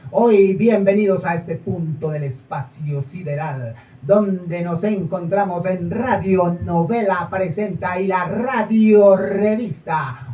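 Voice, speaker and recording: An actor telling a fable and greeting the audience like a show host, slow and measured. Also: male voice, high-pitched, warm tone. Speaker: stage actor and narrator. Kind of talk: storytelling and mock show hosting. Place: small theater with a live audience. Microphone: far camera. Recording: echoey room, far camera, faint noise.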